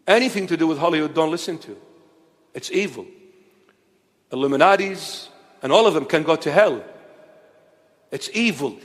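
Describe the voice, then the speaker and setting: A man preaching a fiery sermon, repeating his phrases, dropping to low murmurs and then rising to shouting. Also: big-room sound. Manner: impassioned, repetitive, swings between low murmurs and shouting. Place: in Australia.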